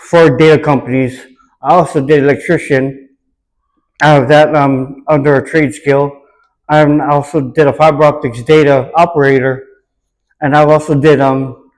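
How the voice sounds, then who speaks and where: A man with a slightly high voice gives reflective interview answers. He speaks earnestly and deliberately, often echoing a question before he answers it. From Hawaii, United States.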